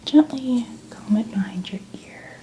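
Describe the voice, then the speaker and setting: A woman doing a gentle, reassuring soft-spoken ASMR roleplay, often dropping toward a whisper. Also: fairly high pitch, measured pace. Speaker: ASMR host playing a makeup artist.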